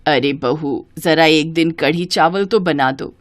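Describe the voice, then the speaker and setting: Woman playing a low-voiced teasing Indian mother. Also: slow, a little hiss or hum. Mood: coaxing. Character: middle-aged mother and mother-in-law, teasing, fond, gently scolding.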